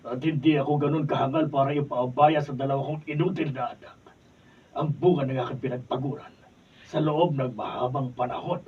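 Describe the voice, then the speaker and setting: An actor playing an older male company owner, tired of work and scornful of his sons, booming in a radio drama. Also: steady tone, a little fast, husky, far from the mic, lo-fi sound. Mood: stern. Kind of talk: 1970s-style radio drama acting.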